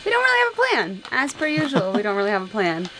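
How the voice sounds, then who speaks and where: A woman's voice: fairly high pitch, wildly swooping pitch, quick pace, heavy background noise. A woman in her thirties from Indiana, chatting upbeat and jokey to a handheld camera inside a camper. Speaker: RV vlogger. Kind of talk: casual vlog chat to camera. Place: inside a travel trailer. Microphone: handheld camera close up.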